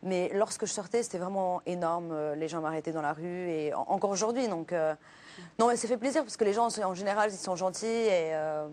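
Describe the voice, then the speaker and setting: A woman's voice: on the low side, a little fast, a little mellow. A woman in her twenties with a Portuguese accent, chatting as a guest on a talk show and hesitating with lots of fillers. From Luxembourg. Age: 20s. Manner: hesitant, many fillers and restarts. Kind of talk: casual interview chat.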